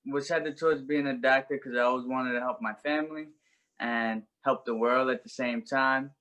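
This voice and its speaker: A man's voice: medium pitch, fairly even intonation, moderate pace, fairly bright tone, low-quality recording. A young man, telling his life story as a podcast guest in an earnest, reflective way. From Brooklyn, New York. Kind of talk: personal story in an interview.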